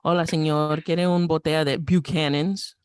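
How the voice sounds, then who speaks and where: A man's voice: on the high side, steady tone, normal speed, smooth, no echo. Teasing man. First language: Spanish. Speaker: podcast co-host. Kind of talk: casual chat.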